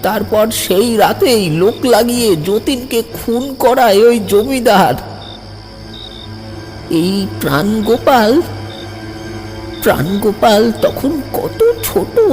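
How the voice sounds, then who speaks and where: Frightened old male servant, acted by a woman, horror audio story, halting, imploring, very animated pitch, long hall reverb, music behind. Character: frightened old servant man, former stable hand, pleading.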